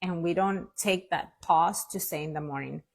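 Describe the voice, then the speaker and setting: A woman host with a breathy, somewhat husky voice pitched on the low side. She talks reflectively, thinking aloud and filling pauses as she goes. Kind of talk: casual interview talk.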